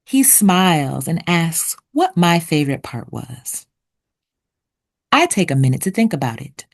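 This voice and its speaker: A woman reading a children's picture book aloud expressively, with deliberate storytelling pauses. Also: low voice, big pitch swings, gravelly. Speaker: children's read-aloud narrator.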